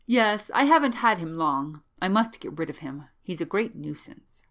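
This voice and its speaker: A woman's voice: fairly low pitch, animated, moderate pace, a bit bright. Woman as a nervous, evasive young male student. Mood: flustered, evasive. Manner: flustered, clipped excuses. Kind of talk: audiobook character voice.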